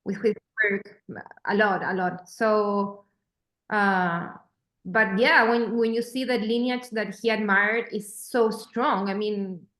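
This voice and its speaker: A woman's voice: mid-range voice, fairly even intonation, slow, a bit bright. A woman filmmaker in a casual panel discussion, often restarting her phrases while she searches for words. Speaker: documentary filmmaker and moderator. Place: panel event room. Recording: echoey room.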